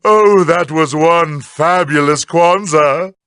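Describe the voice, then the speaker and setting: An actor playing a sardonic, unhinged villain in a cartoon video game, with a theatrical, sarcastic delivery. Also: male voice, fairly high pitch, takes it slowly, sharp tone, smooth, no room sound, clean studio sound. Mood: sardonic, dry. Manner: theatrical, sarcastic, swinging to outbursts.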